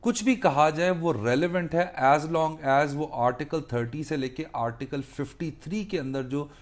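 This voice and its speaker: Pakistani guy teaching law, voice a bit high, emphatic, repeats himself, flips between urdu and english. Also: unhurried. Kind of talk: explanatory legal lecture to a co-host.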